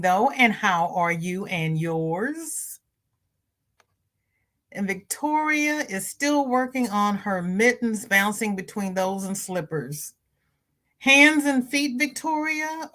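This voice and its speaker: A stuffy-nosed woman hosts a casual livestream and chats easily with her viewers. Her voice sits at an ordinary middle pitch for a woman. It jumps up brightly whenever she greets someone by name. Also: lively intonation, slow. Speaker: crochet channel host. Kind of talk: casual livestream chat, reading viewer comments.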